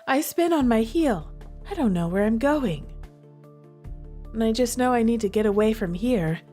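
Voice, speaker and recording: A woman's voice: on the high side, lively intonation, normal speed, dark tone, soft background music. A woman streaming a visual novel, chatting to viewers and reading the game text aloud with dramatic feeling.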